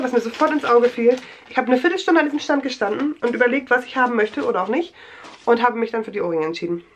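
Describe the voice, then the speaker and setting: A young woman with a cold, rambling chattily through a show-and-tell vlog in a small bedroom, full of fillers and restarts. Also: on the high side. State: has a cold. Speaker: vlogger.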